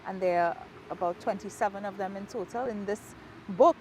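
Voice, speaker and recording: A woman from Trinidad and Tobago with a Trinidadian accent, an author talking about her book in an outdoor interview in a park, reflective and quick to laugh. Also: medium pitch, lo-fi sound, slight background noise. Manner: warm, reflective, laughs easily. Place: outdoors in a park, rain falling.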